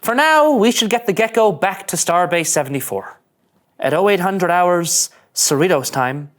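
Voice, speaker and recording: A woman's voice: on the low side, natural voice. A woman playing an earnest, easily flustered young male starship ensign in a melodramatic sci-fi script reading. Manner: melodramatic, indignant monologuing. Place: studio.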